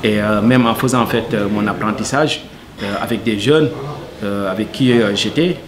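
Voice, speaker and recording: A man's voice: on the low side, normal speed, crowd noise. A man from Senegal, answering interview questions earnestly and hesitantly, full of fillers. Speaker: cook, interviewee.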